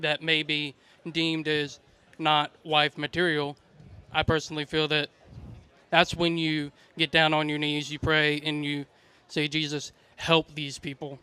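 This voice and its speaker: A man giving an unscripted comment at a debate, halting and earnest, in a preachy way. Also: on the high side, monotone, bright, forceful tone, clean tone. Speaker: audience member commenting at a debate.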